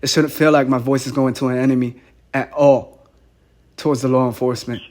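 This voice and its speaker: A man's voice: mid-range voice, flat delivery, fairly quick, darkish tone. A man calling in, earnest and insistent, often repeating himself. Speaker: caller. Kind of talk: phone call.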